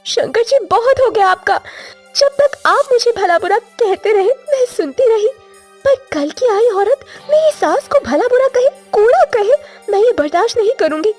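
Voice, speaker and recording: Very high-pitched long-suffering young village wife played by a woman. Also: lively intonation, normal speed, a little mellow. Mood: indignant. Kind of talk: Hindi moral-story cartoon dubbing. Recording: faint music behind the voice.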